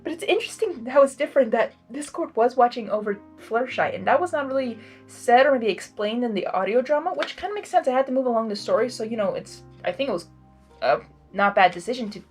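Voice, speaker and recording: Excitable high-voiced woman, very animated. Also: fast, soft background music. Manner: gushing, giggly, exclamatory. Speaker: host of a blind-reading series. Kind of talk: excited fan commentary between story narration.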